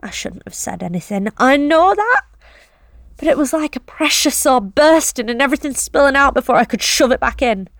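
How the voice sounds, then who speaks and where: A woman's voice: high voice, big pitch swings, moderate pace, no echo, clean studio sound. An actress playing a nervous young delivery driver, telling her story in a tense, confessional first-person monologue with blunt swearing. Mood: uneasy. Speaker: narrating actor.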